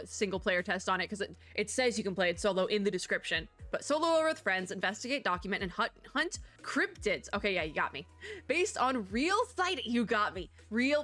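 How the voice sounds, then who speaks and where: A woman's voice: mid-range voice, fairly bright tone. A young woman streamer with a sore throat, chatting casually and self-deprecatingly while she reviews games, often restarting her sentences. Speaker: VTuber reviewing game showcase. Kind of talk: casual commentary.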